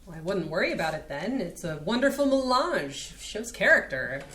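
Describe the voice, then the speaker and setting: An effusive, flattering art director, read by a woman in a staged reading. Her voice rises and falls brightly. Faint noise sits under the echoey room. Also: mid-range voice, moderate pace. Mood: gushing.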